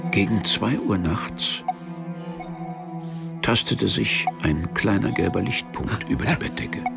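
A man playing the sombre, detached narrator of a radio play, reading literary prose deliberately in a gravelly voice. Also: fairly low pitch, animated, loud music behind the voice, exaggerated voice. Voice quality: gravelly, creaky. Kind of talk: scripted narration.

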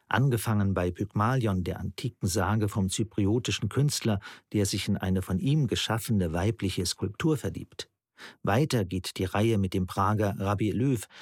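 Guy narrating a literary radio essay, lowish husky voice, very dry close sound. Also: a little mellow, dry recording.

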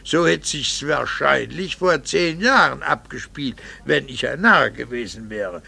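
An actor playing an embittered, coldly vengeful middle-aged husband in a radio play, sarcastic, theatrical and precisely articulated. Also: male voice, mid-range voice, unhurried, creaky, sharp tone, husky, exaggerated voice. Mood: scornful. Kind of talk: German radio play acting.

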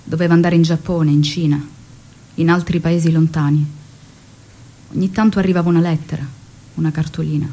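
An actress playing a revolutionary woman in love, recalling her story in a measured, confiding, emotional stage monologue. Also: sits low, little pitch movement, warm tone. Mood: longing. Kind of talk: dramatic first-person stage monologue.

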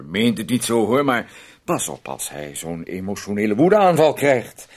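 A man's voice: low voice, slightly rough, full character voice. An actor playing a fussy, manipulative man who poses as a caring guardian in a radio play, talking in a wordy, insinuating, condescending way with tag questions. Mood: smooth, confiding.

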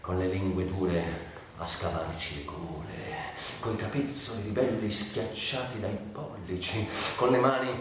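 A man's voice: low-pitched, unhurried. A man performing a dramatic literary monologue with a theatrical, brooding delivery. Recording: very echoey room, distant, faint noise.

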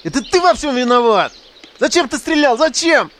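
High-voiced, whining young skinhead. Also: male voice, lively intonation, normal speed, a bit bright, slight background noise. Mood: panicked, accusing. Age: young adult. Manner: whiny, slangy, crude. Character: whining, foul-mouthed 27-year-old ex-skinhead, scared and regretful. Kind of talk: audio drama acting.

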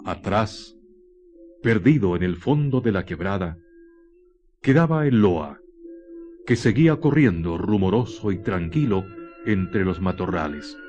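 A man giving dramatic scripted radio narration in a solemn, deliberate manner. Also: low voice, animated, darkish tone, highs cut off, soft background music.

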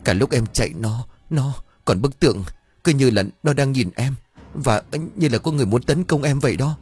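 A man's voice: mid-range voice, unhurried, a little mellow, pitched above the performer's own voice, lightly acted. A man voicing a terrified young wife in a horror story, stammering, tearful and pleading. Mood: panicked, shaken. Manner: stammering, whimpering.

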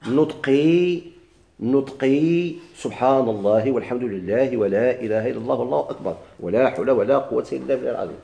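A man with a Moroccan Arabic accent teaches grammar in a dark, gravelly voice. He moves briskly, repeats key words and quizzes his students. The recording is echoey. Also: mid-range voice. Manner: explains slowly, repeats and stretches key words, asks students questions.

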